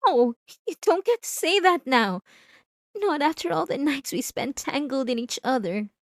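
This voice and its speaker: A woman's voice: sits high, expressive, no echo, studio quality. A woman playing a possessive, obsessive wife in an intimate, theatrical ASMR roleplay monologue. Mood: angry, possessive. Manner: intimate, theatrical, drawn-out emphatic phrases. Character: possessive, obsessive yandere wife confronting her spouse.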